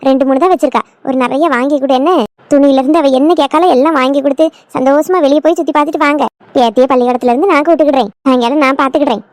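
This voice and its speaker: A sentimental older mother-in-law from a village family drama, voiced by a woman, fussing and coaxing in a high voice. Her accent is southern Tamil Nadu and the recording is dry. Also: darkish tone, no echo, lightly acted. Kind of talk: Tamil family skit acting.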